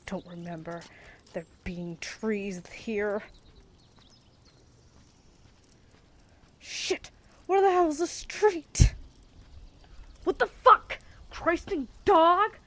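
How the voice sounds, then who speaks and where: A woman's voice: high voice, takes it slowly, a bit bright, full character voice. A young woman playing a sarcastic, foul-mouthed, distrustful student in an amateur radio drama, with a blunt, casual manner. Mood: frustrated, angry.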